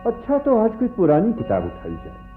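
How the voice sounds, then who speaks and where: An actor playing a genial, bookish gentleman in a radio play, musing to himself and narrating a story expressively. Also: sits high, huge pitch swings, moderate pace. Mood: musing, relaxed. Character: bookish gentleman reading stories aloud at bedtime, genial. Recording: muffled narrow-band radio recording with music.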